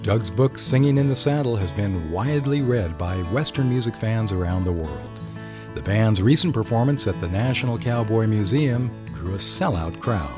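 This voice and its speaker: A man's voice: lowish voice, animated, moderate pace. A man reading scripted promotional narration as an announcer. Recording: guitar music behind the voice. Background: guitar music.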